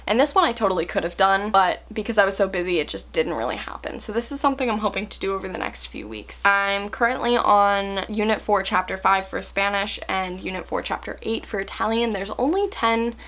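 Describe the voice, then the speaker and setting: A young adult woman talking casually to camera as a language-learning vlogger, chatty and candid, with fillers and soft laughs. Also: mid-range voice, lively intonation, brisk, few pauses.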